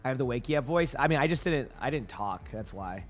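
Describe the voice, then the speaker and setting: A male streamer chatting casually with his live chat, his voice hoarse and rambling with many restarts. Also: medium pitch, lively intonation, quick pace, soft background music.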